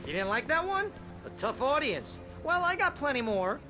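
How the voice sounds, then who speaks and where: An actor playing a whiny, wisecracking best friend in a video game cutscene, groaning and melodramatic. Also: male voice, extremely high pitch, big pitch swings, normal speed, music in the background. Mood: cocky, joking.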